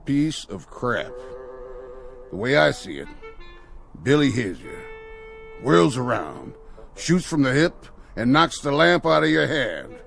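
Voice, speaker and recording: Folksy, sly outlaw, lively pitch. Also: male voice, slow pace, gravelly, long pauses, faint music behind, heavily acted. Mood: scheming, amiable. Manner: folksy, colloquial cowboy talk. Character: folksy, sly Old West outlaw, cheerfully in charge. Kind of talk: radio drama acting.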